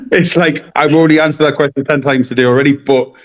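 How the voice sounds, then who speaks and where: A man's voice: on the high side, steady tone, fairly quick. A man with a North-East English accent telling stories casually as an interview guest, rambling and often repeating his words. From North-East England. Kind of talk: casual conversational storytelling.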